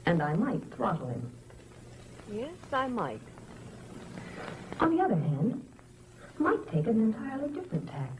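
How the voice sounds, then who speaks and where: A woman's voice: on the high side, wildly swooping pitch, moderate pace, long pauses, rough recording. Wry, uneasy female publicist, vintage suspense drama, very varied intonation, dramatic musing delivery. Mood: wry, exasperated. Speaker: theatrical press agent. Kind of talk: mid-century suspense drama acting. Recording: vintage broadcast with background music and noise.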